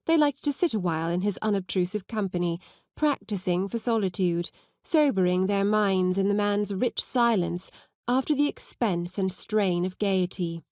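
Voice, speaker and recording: A woman narrates a classic novel in a mid-range voice, recorded dry and close. Her scripted delivery is measured and articulate. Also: medium pitch, darkish tone, no room sound. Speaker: audiobook narrator.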